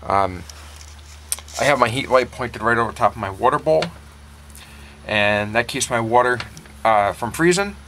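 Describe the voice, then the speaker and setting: A man's voice: medium pitch, unhurried, long pauses, bright, forceful tone. Informal man talking over background noise. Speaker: backyard chicken keeper and vlogger. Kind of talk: casual how-to vlog talk. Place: outdoors in a yard and inside a small wooden coop. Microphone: handheld camera, operator behind it. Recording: noisy handheld camera audio.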